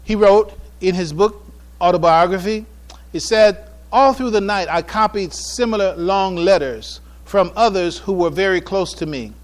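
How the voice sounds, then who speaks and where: An American man delivering a prepared public address on religion and history from notes, with formal, deliberate, emphatic phrasing. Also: high-pitched. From United States. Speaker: speaker giving a public address.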